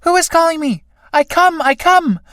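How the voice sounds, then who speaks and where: A woman voicing excitable insects straining toward life in a stage-play fable, full of exclamatory cries. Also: extremely high pitch, moderate pace, dry recording, studio quality. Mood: eager, rapturous.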